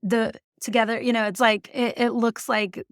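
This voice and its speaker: A middle-aged woman from Canada, a marketing executive telling stories in a podcast interview, animated and laughing often. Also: a little high, moderate pace, no room sound, clean studio sound.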